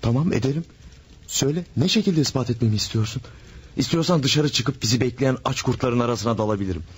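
A man's voice: mid-range voice, fast, slightly rough. An actor playing an infatuated married man in a radio drama, pleading and overwrought in his passion. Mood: impassioned. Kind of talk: 1970s-style radio drama acting.

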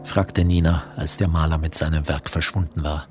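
A man narrating a literary radio drama in a composed, measured storytelling manner. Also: very deep voice, steady tone, music in the background. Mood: measured, descriptive.

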